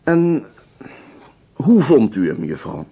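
Animated, probing police inspector. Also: male voice, medium pitch, slow, gravelly, husky, heavily acted. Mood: questioning. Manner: businesslike, probing, pensive hesitations. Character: calm, methodical, courteous male police inspector. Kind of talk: Dutch radio drama acting.

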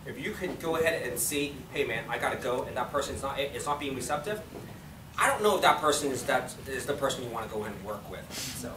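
A man's voice: mid-range voice, expressive, fast talker. Upbeat joking man presenter, echoey room. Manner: upbeat, jokey, repeats "go ahead and". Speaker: workshop presenter. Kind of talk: seminar talk and Q&A. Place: small meeting room with audience. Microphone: distant camera mic. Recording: echoey room from far off, background hum.

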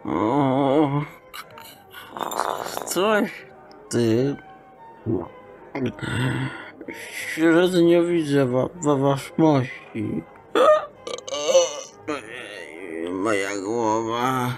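A wealthy nobleman deep in drink, acted by a man, in an audio drama. He slurs and stammers at a crawl, muddled and suspicious, groaning between words. Soft music plays underneath. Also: extremely slow, long pauses. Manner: stammering, slurred drunken mumbling with groans. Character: drunk wealthy nobleman, muddled, suspicious, pining for his lady.

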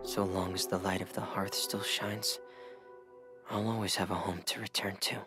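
An actor playing a shy, gentle, lonely teenage boy diver in a scripted video game monologue, soft-spoken and subdued. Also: sits low, flat delivery, normal speed, natural voice. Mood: quietly resolute. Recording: game trailer with music behind.

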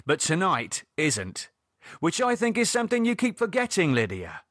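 A man's voice: high-pitched, huge pitch swings, moderate pace, a bit bright, breathy edge, no echo, clean studio sound, voice pushed up, heavily acted. A man playing an exasperated, sarcastic British sceptic. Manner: dismissive, mocking, exasperated, colloquial. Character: irritated, sarcastic man, a practical no-nonsense sceptic. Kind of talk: audiobook character dialogue.

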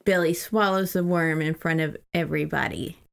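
A woman's voice: deep voice, steady tone, moderate pace, creaky. A woman co-hosting a podcast, chatting casually about books in an irreverent, freely swearing way. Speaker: podcast co-host. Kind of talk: casual book-discussion chat.

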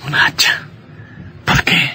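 An actor playing an affectionate, skeptical young newlywed husband in an old-time radio drama, by turns playful and soothing. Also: male voice, slow pace, pushed and bright, a little hiss or hum. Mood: reluctant, indulgent.

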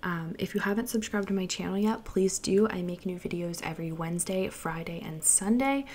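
Young woman vlogger, lowish voice, chatty and casual, light background hiss, home kitchen. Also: darkish tone. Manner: chatty, listing items quickly with fillers. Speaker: lifestyle vlogger. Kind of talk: casual voice-over walkthrough.

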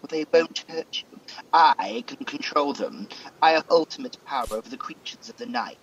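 A man's voice: fairly high pitch, normal speed, creaky, in a large hall, lo-fi sound. An actor playing a scheming, boastful Demon King villain in a radio pantomime, with a grandiose, theatrical delivery. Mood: smooth, persuasive.

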